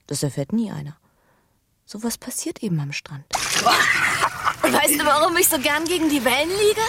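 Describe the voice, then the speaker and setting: An actress playing an earnest, intimate young pregnant woman in a German radio drama, speaking in breathy, confiding, deliberate phrases. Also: high-pitched, animated, heavy background noise. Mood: tender, happy. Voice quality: breathy, often sinking to a whisper.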